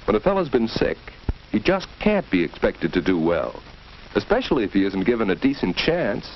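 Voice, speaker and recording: An actor narrating an old educational film in a musing, scripted way. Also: male voice, lowish voice, big pitch swings, normal speed, lo-fi sound, slight background noise. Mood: brooding.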